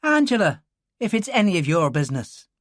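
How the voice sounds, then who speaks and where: A man voicing a fierce young Irish farm woman of about twenty, with an Irish accent and a sharp, defiant way of talking. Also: sits high, big pitch swings, brisk, dry recording, clean studio sound, pitched above the performer's own voice.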